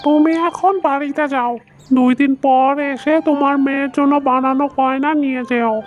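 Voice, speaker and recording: A woman's voice: sits high, steady tone, moderate pace, narrow, tinny audio, lightly acted. A woman voicing a sly, greedy male village goldsmith in a cartoon, with a scheming, inquisitive manner. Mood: probing. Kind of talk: cartoon story dubbing.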